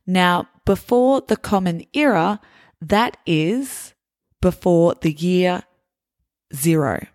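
A woman with a lowish voice hosts a learner podcast, talking very slowly and carefully as she explains words. The sound is dry and close, with no room around it. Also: expressive, a little mellow, dry recording. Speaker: podcast host.

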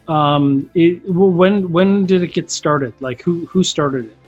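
A man's voice: fairly high pitch, takes it slowly, narrow, tinny audio, faint music behind. A man hosting a podcast interview, chatty and casual, with frequent stumbling restarts. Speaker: podcast host.

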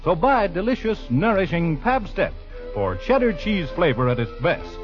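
A man's voice: high-pitched, big pitch swings, moderate pace, faint music behind. A man as a commercial announcer, reading a scripted 1940s radio advertisement. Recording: dull vintage radio recording.

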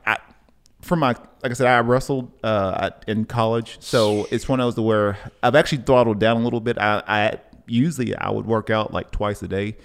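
A man hosting a podcast in casual conversation, speaking in a halting, reflective way and often repeating words. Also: on the low side, fairly even intonation. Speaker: podcast host, coach. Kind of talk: casual interview chat.

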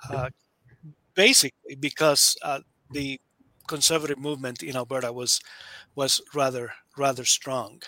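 A man's voice: mid-range voice, flat delivery, moderate pace, sharp tone, dry recording, rough recording. A man in his fifties from Nicaragua, talking as a guest author in a casual interview, hesitant with many fillers and restarts.

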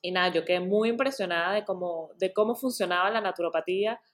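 A woman's voice: lowish voice, quick pace. A woman, a naturopath guest, talking in a casual interview in an earnest way and often restarting her words.

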